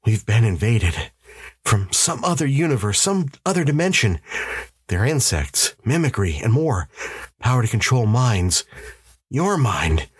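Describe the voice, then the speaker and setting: A man's voice: medium pitch, big pitch swings, a little slow, husky, no room sound, clean studio sound, voice pushed up. A man voicing an urgent, commanding husband in a story, insistent and barking orders as if hunted. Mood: urgent, intense. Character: urgent, commanding husband convinced of alien invasion.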